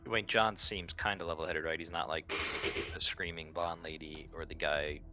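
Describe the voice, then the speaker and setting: A man's voice: rumbling low, steady tone, moderate pace, over loud music. A man playing a tabletop role-playing game, casually describing what his character does. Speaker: player.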